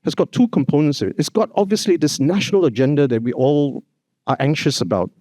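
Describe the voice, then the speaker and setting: A man's voice: a little high, fast talker, mellow, dry recording, clean studio sound. A man giving a formal opening address at a conference, speaking earnestly and emphatically, often adding "you know" and "actually". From Singapore. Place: hotel conference hall.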